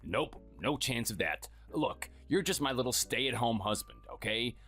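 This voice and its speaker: A performer voicing a cold, contemptuous workaholic husband who talks curtly and dismissively. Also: male voice, mid-range voice, expressive, measured pace, sharp tone, breathy edge, faint music behind, full character voice. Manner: curt, scornful, dismissive.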